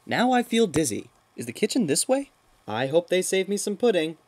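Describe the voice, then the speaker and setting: A man's voice: high voice, very animated, normal speed, heavy background noise, pitched above the performer's own voice. Man voicing a sarcastic young cartoon mouse, swooping delivery. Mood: confused, indignant. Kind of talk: parody fan dub of a fantasy cartoon.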